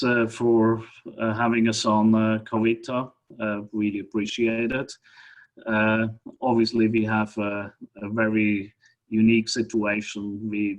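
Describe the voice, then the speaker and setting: A middle-aged man from Switzerland giving a webinar presentation as a guest speaker, talking hesitantly with many fillers and restarts. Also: on the low side.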